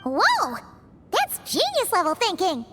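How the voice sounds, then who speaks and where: A woman voicing a tiny, childlike fairy companion in a video game, squeaky, excitable, cheeky and a bit bossy, speaking in blunt exclamations. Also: a little slow, over loud music, heavily acted. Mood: cheerful, impressed. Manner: exclamatory, blunt, refers to self by name. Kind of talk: animated video game character acting.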